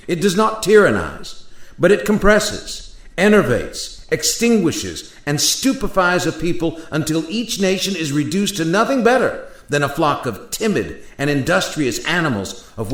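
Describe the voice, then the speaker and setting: A man playing an earnest, formal statesman from centuries past in a radio play, with measured, oratorical delivery. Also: sits high, a bit bright. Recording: roomy, echoing sound.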